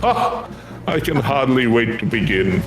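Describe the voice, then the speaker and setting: A man's voice: medium pitch, lively intonation, measured pace, close to an everyday voice, rough recording. A man voicing a courtly, earnest fantasy craftsman, devout and grateful, speaking quest dialogue in formal, flowery phrasing. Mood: admiring, eager. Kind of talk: voiced reading of fantasy game quest dialogue. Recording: music behind the voice.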